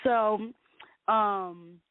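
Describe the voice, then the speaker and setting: A woman from Liberia hosting a casual interview, chatty and opinionated, with lively emphasis. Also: mid-range voice, very slow, dry recording. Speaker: interview host. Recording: dry, phone-like call audio.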